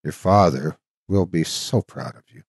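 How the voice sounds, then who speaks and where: A man's voice: low voice, measured pace, slightly rough, dry recording, clean studio sound, full character voice. A man voicing a devoted, protective soldier's ghost in an earnest, solemn horror storytelling reading. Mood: tender.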